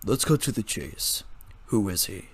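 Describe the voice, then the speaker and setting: A man's voice: on the low side, sing-song, measured pace, slightly rough, voice pushed down, heavily acted. A man voicing a stern, muscular bearded man who questions and lectures curtly. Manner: stern, curt.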